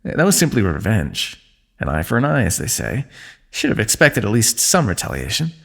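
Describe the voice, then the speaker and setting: A man's voice: fairly low pitch, moderate pace, creaky, exaggerated voice. A man playing a wry, wounded fantasy ruler. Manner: dry, teasing, calm understatement. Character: wry wounded male ruler, teasing old comrade. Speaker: ASMR roleplay voice actor. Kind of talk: fantasy ASMR roleplay.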